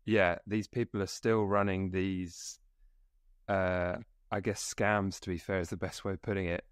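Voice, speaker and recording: Deep-voiced young man hosting a podcast. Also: flat delivery, unhurried, clear, resonant tone, no room sound, studio quality. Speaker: podcast host. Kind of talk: casual podcast interview. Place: small room lit by coloured lights. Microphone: video call.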